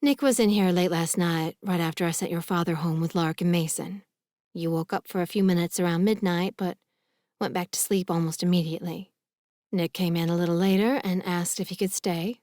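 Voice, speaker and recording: Audiobook mom, voiced by a female narrator: middle-aged, protective, stern but fussing, slightly low voice, dry close sound. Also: fairly even intonation, brisk, darkish tone, dry recording, close to an everyday voice. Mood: reluctant. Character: worried, protective, no-nonsense middle-aged mother. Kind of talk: audiobook character dialogue.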